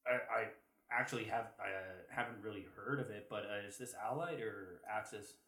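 A Canadian man chatting casually as a podcast co-host, feeling his way through points in a halting manner. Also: fairly low pitch, bright, forceful tone. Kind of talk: casual chat. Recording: roomy echo.

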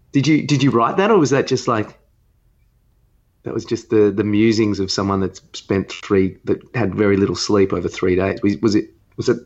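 A man's voice: lowish voice, lo-fi sound. A man chatting casually as a podcast host, hesitant and tentative in how he talks. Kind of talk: casual chat.

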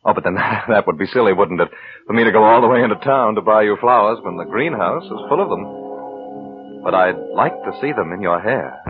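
An actor playing a flattering, scheming suitor with an ingratiating, insinuating manner in mid-century radio drama. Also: male voice, on the low side, normal speed, phone-quality sound, music in the background, close to an everyday voice. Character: smooth-talking, scheming, flattering suitor and weak writer. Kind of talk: 1940s-50s radio drama acting. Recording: band-limited old radio broadcast.

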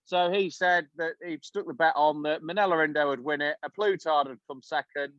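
A man's voice: on the high side, a little fast, a bit bright, dry recording. A man co-hosting a casual podcast, rambling through an anecdote with plenty of fillers. The recording sounds dull and lo-fi. It is very dry, with no room sound. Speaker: podcast co-host. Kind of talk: casual chat.

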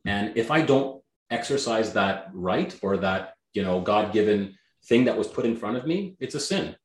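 A man from Canada, raised speaking Greek first, talks as a guest with blunt, emphatic energy and swears freely. His voice sits a little low. The recording has a roomy echo around it. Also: lively intonation, normal speed. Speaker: guest, real estate agent. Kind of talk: motivational interview talk.

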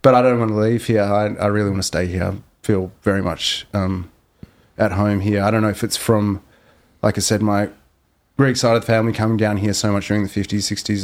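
A man chatting as a podcast guest, relaxed and reflective, with lots of fillers. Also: lowish voice. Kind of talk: casual podcast chat.

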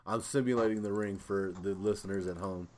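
A man's voice: fairly low pitch, steady tone, brisk, a little mellow. A man co-hosting a podcast in casual chat, talking in a rambling, loosely phrased way. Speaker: podcast co-host.

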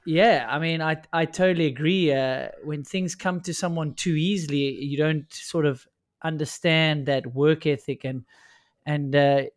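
A middle-aged South African man with a South African accent, interviewing a former teammate in a measured, thoughtful way. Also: a little high, fairly even intonation, dry recording, clean studio sound. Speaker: interviewer, former pro rider. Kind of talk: casual conversational interview.

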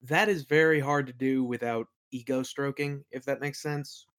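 A man's voice: medium pitch, fairly even intonation, normal speed, a bit bright, clear, resonant tone. A man being interviewed as a game master and creator, chatting casually in an informal, enthusiastic manner. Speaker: podcast guest, game master and audio play creator.

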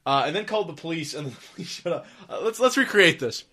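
Man hosting a casual chat, fairly high voice, reads news items aloud, laughs often. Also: big pitch swings, fast, sharp tone, breathy edge. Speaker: podcast host.

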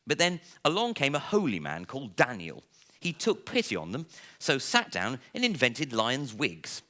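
A man reading a comic children's story aloud to listeners in a theatrical, playful way, with dramatic pauses. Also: mid-range voice, wildly swooping pitch, bright, forceful tone. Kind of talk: expressive live storybook reading.

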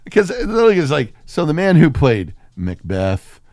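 Animated, irreverent man bantering. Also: a little high, moderate pace, dry recording, clean studio sound. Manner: irreverent, sweary, riffing in mock voices. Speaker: podcast co-host reviewing films. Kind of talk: casual comedic banter.

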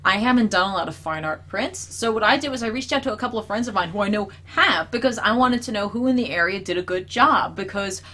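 A woman's voice: medium pitch, lively intonation, fast, few pauses, pushed and bright. A woman co-hosting a comics talk show, bubbly and chatty, laughing often and saying "like" a lot. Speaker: show co-host. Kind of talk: casual chat.

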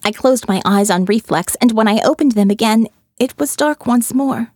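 A woman's voice: fairly high pitch, moderate pace, darkish tone, no room sound, clean studio sound. A woman reading a first-person audiobook in a wry, conversational way. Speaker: audiobook narrator.

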